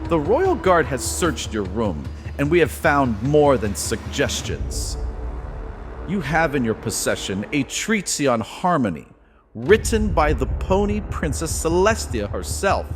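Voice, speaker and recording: A stern changeling guard acted by a man presses the accusation in formal, theatrical speech. The pitch swings widely, and music sits clearly beneath this fantasy audio drama. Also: very animated, a little slow. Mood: accusing. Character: stern accusing male insect royal guard prosecutor. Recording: loud music bed underneath.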